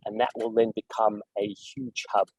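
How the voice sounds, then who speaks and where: A middle-aged man from South Africa with a South African accent, answering interview questions in a measured way, with frequent fillers and restarts. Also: lowish voice, monotone, a little mellow, breathy edge, no room sound, dull audio. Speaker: guest executive.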